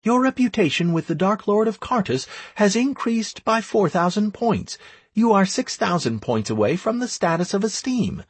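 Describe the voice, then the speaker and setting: Man as a high, brisk game system announcer. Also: big pitch swings, breathy edge, no room sound, full character voice. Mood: formal. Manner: declamatory, formal.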